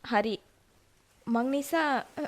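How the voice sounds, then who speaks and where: A woman's voice: on the high side, drawn-out words. A woman playing an anxious young Sinhala wife. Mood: hurt. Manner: pleading, mixing Sinhala and Tamil. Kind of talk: radio drama acting.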